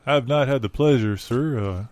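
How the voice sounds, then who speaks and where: A man playing an earnest, deadpan fairground musician and poet in an improvised comedy sketch, speaking hesitantly. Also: medium pitch, moderate pace, no echo, natural voice.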